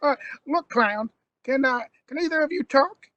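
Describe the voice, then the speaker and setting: A woman's voice: high-pitched, expressive, normal speed, a bit bright, no room sound, dull audio. A woman playing a brash, impatient young male traveller in an audio drama, blunt and defiant in manner. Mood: bemused.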